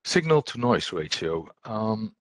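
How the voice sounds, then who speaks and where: A man giving an explanatory technical presentation as a webinar trainer, speaking in a hesitant, halting way. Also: lowish voice, big pitch swings, no echo.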